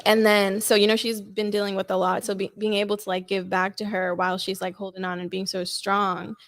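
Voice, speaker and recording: A woman's voice: mid-range voice, no echo. A young woman, a guest content creator, chatting casually and animatedly in a podcast interview. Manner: chatty, animated storytelling with "like" fillers.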